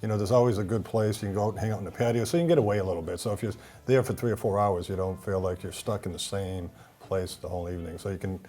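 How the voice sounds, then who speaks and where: A man with a Boston accent talks quickly in a rambling, filler-heavy way. His voice sits low. He is chatting as a guest on a talk show. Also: steady tone, dark tone. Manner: rambling, many fillers and restarts. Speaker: event center owner, guest. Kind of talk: casual TV talk show interview.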